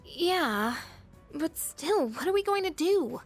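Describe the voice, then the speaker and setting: A woman's voice: very high voice, animated, slow pace, soft background music, heavily acted. A woman playing an earnest, troubled teenage schoolgirl in an anime-style game dub. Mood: anxious, disbelieving. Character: troubled, earnest teenage schoolgirl fighter, daughter of a late scientist.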